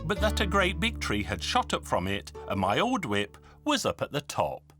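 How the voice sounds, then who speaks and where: Swooping, theatrical tall-tale storyteller over music. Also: male voice, fairly high pitch, huge pitch swings, moderate pace. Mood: lively, boastful. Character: boastful folk hero telling absurd tall tales. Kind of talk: animated folk-tale storytelling.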